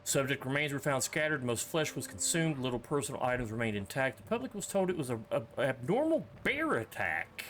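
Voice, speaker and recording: A man with a bright, forceful voice streams a horror game in a casual style. His pitch swings widely as he reads on-screen text and drops in offhand jokes. Faint noise sits behind the voice. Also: very animated, fairly quick, hardly any pauses. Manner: joking, offhand asides. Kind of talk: casual gaming commentary, reading on-screen text aloud.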